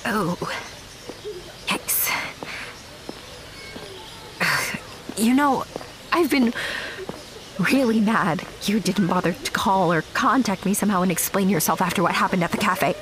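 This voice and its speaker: A woman's voice: medium pitch, a little slow, pauses often, noisy background, natural voice. An actress playing a candid, guarded young woman in a naturalistic audio drama, talking in a casual, direct way. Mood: hurt, frank. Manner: frank, casual, conversational.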